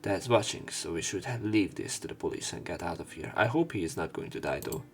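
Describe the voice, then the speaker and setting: A man narrates a visual novel for a let's play. His voice is low and creaky, reading expressively like a storyteller, with faint music behind it. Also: animated, a little fast.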